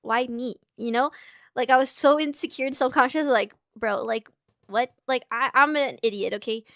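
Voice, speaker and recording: A young woman in her twenties, a streamer telling a personal story in a halting way, with many restarts and pauses. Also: fairly high pitch, fairly bright tone, no room sound, studio quality. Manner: halting, tearful at times. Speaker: streamer in a played clip. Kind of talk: emotional personal account. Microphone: small clip-on mic.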